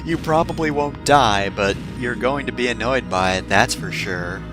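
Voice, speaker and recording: A man's voice: mid-range voice, moderate pace, over loud music. A man giving casual, rambling video game commentary as a let's-play host, with wry, sarcastic asides and frequent digressions.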